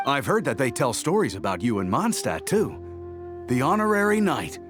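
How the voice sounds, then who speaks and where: A man's voice: mid-range voice, big pitch swings, normal speed, over music, close to an everyday voice. An actor playing an admiring, hearty male sailor in a video game, speaking in an emphatic way. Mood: impressed.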